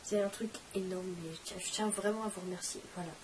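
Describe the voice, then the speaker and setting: A woman's voice: mid-range voice, fairly even intonation, quick pace, slight background noise. Teen girl with a cold, far from the mic. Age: teens. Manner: rambling, self-mocking, chatty. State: has a cold, coughing. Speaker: channel host. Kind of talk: casual vlog Q&A.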